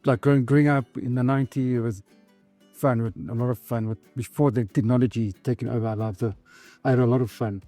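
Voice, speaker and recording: A South African man in his thirties with a South African accent, telling his story in an easygoing, halting way in a documentary interview. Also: mid-range voice, fairly even intonation, mellow, soft background music.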